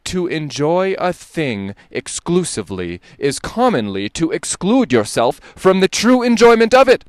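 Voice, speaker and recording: Male dramatic reader, medium pitch, period-lecture oratory, deliberate and emphatic, very dry sound. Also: dry recording. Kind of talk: dramatic reading of a 19th-century lecture.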